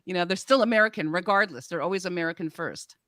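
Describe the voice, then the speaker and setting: A woman's voice: lowish voice, quick pace, pushed and bright, no echo, clean studio sound. A woman co-hosting a casual talk show, asking engaged, interested questions. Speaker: co-host.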